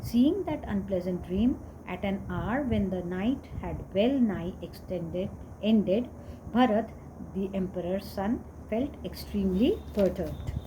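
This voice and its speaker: A woman's voice: mid-range voice, animated, slow. Woman reading scripture over noticeable background noise. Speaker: reader of scripture.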